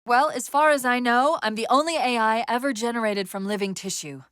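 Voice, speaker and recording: A woman voicing an urgent, clever military AI companion in a video game, wry and earnest, giving crisp tactical briefings with an undercurrent of strain. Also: a little high, a little fast, fairly bright tone, no room sound, clean studio sound, close to an everyday voice. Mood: focused briefing.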